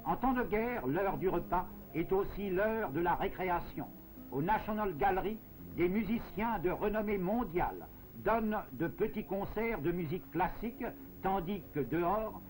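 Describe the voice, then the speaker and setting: A man delivering formal, measured, scripted narration in the declamatory style of a 1940s propaganda film. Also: high-pitched, lively intonation, darkish tone, poor audio. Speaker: newsreel narrator. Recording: 1940s film soundtrack with music. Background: music.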